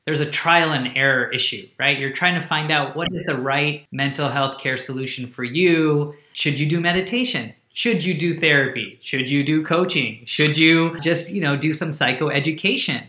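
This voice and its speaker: Male guest, Hindi native speaker, earnest explainer, bright tone, roomy echo. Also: on the high side, normal speed, hardly any pauses. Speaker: startup founder, guest. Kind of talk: podcast interview.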